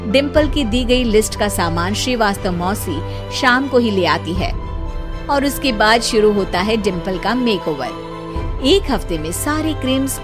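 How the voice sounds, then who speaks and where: A woman narrating a children's moral story in a lively, playful way. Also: medium pitch.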